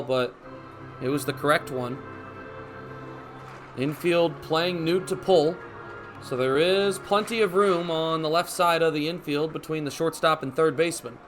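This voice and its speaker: A man's voice: fairly high pitch, fairly bright tone. A man in his twenties doing radio baseball play-by-play as the announcer, with a descriptive delivery. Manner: descriptive, measured delivery that rises on action. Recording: clear background ambience noise.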